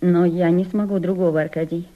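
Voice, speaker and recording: A woman's voice: fairly low pitch, fairly even intonation, fairly quick, lightly acted. An actress in a Russian radio drama playing a reserved, cautious émigré noblewoman turned journalist, speaking in a clipped, businesslike way. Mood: guarded, hesitant. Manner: clipped, restrained, guarded. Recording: dull, lo-fi old radio play recording.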